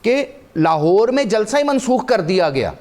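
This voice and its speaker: A man's voice: sits high, sing-song, moderate pace, a little mellow. A man with a Pakistani accent giving impassioned, emphatic religious and historical commentary as a talk show guest, building his points through repetition.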